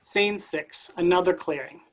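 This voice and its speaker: A woman's voice: fairly low pitch, unhurried. A woman reading stage directions aloud in a staged play reading.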